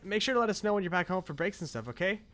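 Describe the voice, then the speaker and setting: A man voicing a shy, sweet, apologetic young woman in a visual novel, gentle and hesitant. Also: high voice, sing-song, very fast, voice pushed up.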